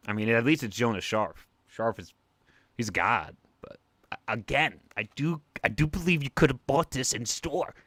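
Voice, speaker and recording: A young man with a New York accent, chatting excitably on a livestream while opening comic packs, with a cartoonish way of talking. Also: medium pitch, a little slow, long pauses, no echo. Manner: excitable, animated, talks like a cartoon character. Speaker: comic collector streamer. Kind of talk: casual livestream chat.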